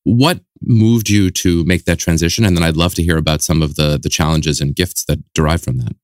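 A man hosting a podcast, reading a scripted introduction and asking interview questions in a measured, academic way. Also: low-pitched, dry recording, clean studio sound. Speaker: podcast host.

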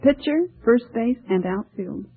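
A woman's voice: on the high side, animated, a little slow. A woman in her twenties giving brief, plain answers as a radio quiz contestant. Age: 20s. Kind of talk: short shy interview answers. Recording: noisy tinny old radio broadcast, audience.

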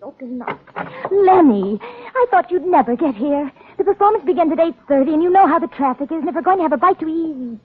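A woman's voice: high-pitched, animated, brisk, a little hiss or hum. An actress playing a flighty, haughty rich society woman with fluttery, affected chatter in a 1940s radio drama. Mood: impatient, flustered. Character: flighty, haughty wealthy society widow, female. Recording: tinny band-limited 1940s radio broadcast.

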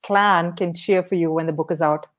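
A woman's voice: lowish voice, steady tone, moderate pace. A woman hosting a podcast interview, asking questions in a friendly, encouraging way. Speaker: podcast host.

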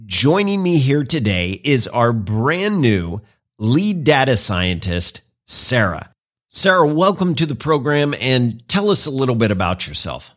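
A male podcast host with an upbeat, polished presenter delivery. His pitch swings widely as he speaks, though it sits in the middle range for a man. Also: very animated, unhurried, fairly bright tone. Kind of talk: hosted podcast interview.

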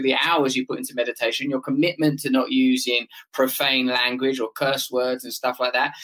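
A man's voice: mid-range voice, sharp tone, poor audio. Chatty male host calling people mate. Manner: playful, chatty, addresses guest as mate. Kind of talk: livestream interview.

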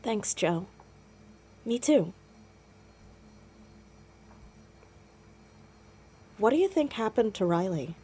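A woman's voice: mid-range voice, animated, unhurried, mellow, breathy edge. A young woman playing a blunt, sardonic, self-conscious teenage girl in a naturalistic audio drama, easily exasperated. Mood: subdued.

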